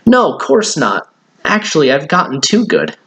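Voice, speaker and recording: A man voicing an excited, eager ten-year-old boy in a children's storybook. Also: on the high side, unhurried, husky, full character voice. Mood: proud.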